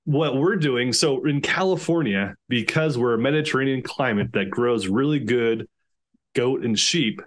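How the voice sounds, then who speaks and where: A man's voice: mid-range voice, normal speed. A man in his thirties, an organic farmer, talking eagerly over a video call with many restarts. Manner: enthusiastic, rambling with many restarts. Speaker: organic chicken farmer, podcast guest. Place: small room indoors.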